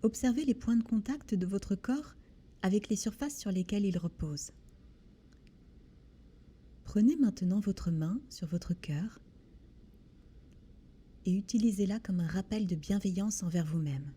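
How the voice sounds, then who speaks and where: A woman leads a guided body scan meditation in a soothing, gentle manner, with deliberate pauses between short phrases. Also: medium pitch. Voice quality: soft, breathy. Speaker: guided relaxation instructor.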